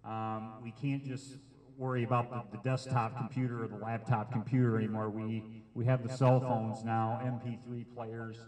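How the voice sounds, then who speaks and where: A middle-aged man with a loud, carrying voice gives an informal public presentation in a hesitant, plain-spoken way with frequent pauses. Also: mid-range voice, level tone, in a large hall. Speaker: sheriff's detective giving a public safety presentation. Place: meeting room with an audience. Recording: amplified microphone, distant room pickup.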